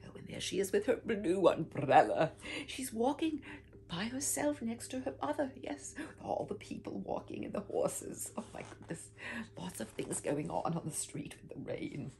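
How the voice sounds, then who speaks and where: An older woman reading a children's picture book aloud with theatrical whispers and swooping pitch, talking warmly to young listeners as "my dears". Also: big pitch swings, normal speed, vocal fry, a little hiss or hum. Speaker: storybook reader. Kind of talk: expressive read-aloud for children. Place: home room with bookshelf, indoors.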